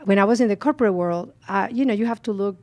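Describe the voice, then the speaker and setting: A woman's voice: medium pitch, quick pace. A middle-aged woman from the Dominican Republic with a Spanish accent, earnestly telling her personal testimony as a guest on a talk show. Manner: earnest, heartfelt storytelling with frequent "you know" and soft laughs.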